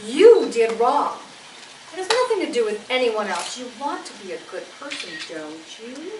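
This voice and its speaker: A woman's voice: on the high side, big pitch swings, moderate pace. A woman playing a matronly Sunday school teacher at a staged comedy table read, firm and moralizing. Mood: scolding. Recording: distant mic, noisy echoey room.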